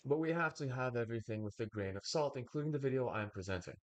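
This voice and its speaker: A young man, raised speaking Bulgarian first, giving a slow, wordy commentary full of pauses as he reacts to a video. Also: mid-range voice. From United States. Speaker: reaction streamer. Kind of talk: casual commentary.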